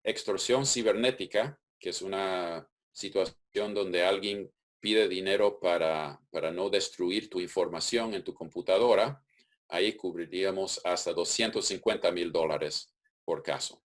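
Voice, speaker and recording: Deep-voiced man explaining steadily. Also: unhurried. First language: English. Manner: methodical, steady explaining with occasional restarts. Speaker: webinar presenter. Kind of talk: explanatory webinar presentation. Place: webinar.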